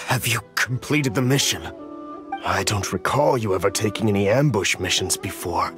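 A man's voice: lowish voice, moderate pace, music in the background, lightly acted. An actor playing an anxious middle-aged official and fretful father in a video game, flustered, earnest and explanatory. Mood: doubtful, dismayed.

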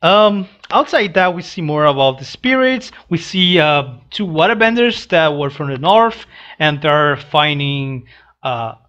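Slow, halting young man, non-native English. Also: a little high, expressive, fairly bright tone. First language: not English. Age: young adult. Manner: halting, hesitant phrasing. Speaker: reaction channel host. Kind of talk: casual reaction commentary. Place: small room indoors. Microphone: headset mic. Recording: dull lo-fi headset audio.

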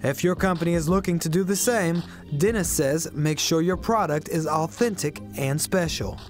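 A young man, a news correspondent, reading a scripted report in a crisp, polished delivery. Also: on the high side, moderate pace, faint music behind.

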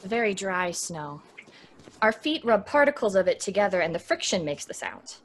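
Lively, proper radio-drama group leader. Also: female voice, medium pitch, normal speed. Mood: patient, instructive. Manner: explanatory, proper, animated. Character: sensible, schoolteacherly woman leading younger friends. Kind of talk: old-time radio drama acting.

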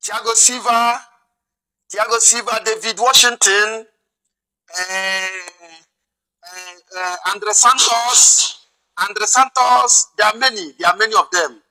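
Cheery nigerian guy in his 30s on a video call, high bright voice, says everything twice. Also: slow pace, low-quality recording. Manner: repeats each phrase, cheerful and friendly. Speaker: video call chat partner. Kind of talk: casual video call chat. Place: indoors at home.

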